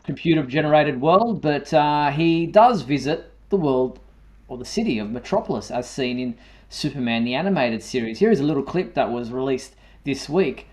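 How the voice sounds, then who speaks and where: A man presents entertainment news as a show host. His voice is medium-pitched, and he talks conversationally with a halting delivery. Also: lively intonation, darkish tone. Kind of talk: semi-scripted fan news presenting.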